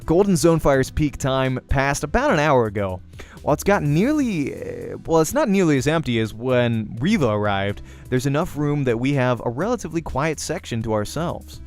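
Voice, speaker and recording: A man's voice: mid-range voice, big pitch swings, moderate pace, a little mellow, faint music behind. A man reading a visual novel aloud as a let's-player, narrating the game story expressively.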